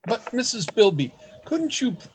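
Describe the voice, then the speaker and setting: A man playing an earnest, anxious trapped man in a radio play, speaking in a dramatic, deliberate way. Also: high-pitched, big pitch swings, a little hiss or hum. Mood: pleading, indignant. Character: earnest, anxious man trapped among secret store dwellers. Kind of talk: radio play acting with diary narration.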